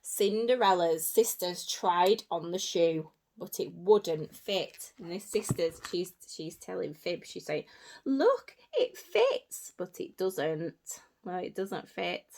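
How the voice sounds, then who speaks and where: A woman reading a story aloud for young children as a teacher, in an animated way with simple repeated phrases and asides to her listeners. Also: lowish voice, takes it slowly, hardly any pauses. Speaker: early years teacher.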